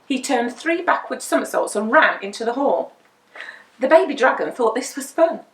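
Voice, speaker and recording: A woman reading a children's picture book aloud as a storyteller, with dramatic, deliberate emphasis. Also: fairly high pitch, big pitch swings. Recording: roomy indoor echo.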